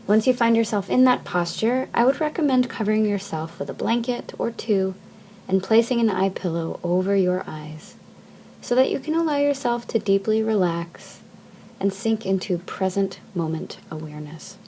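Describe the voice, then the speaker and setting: Soft, mellow woman guiding deep relaxation. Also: expressive, slight background noise. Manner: soft, soothing, measured phrasing with long pauses. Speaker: yoga nidra teacher. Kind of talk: guided meditation, slow instruction.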